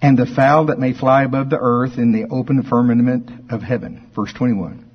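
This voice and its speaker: A man's voice: medium pitch, steady tone, husky. Folksy male preacher, slightly muffled sound. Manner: folksy, conversational, deliberate emphasis when reading scripture. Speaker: preacher teaching a Bible study. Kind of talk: informal Bible teaching to a congregation, reading verses aloud. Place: speaking live before a congregation.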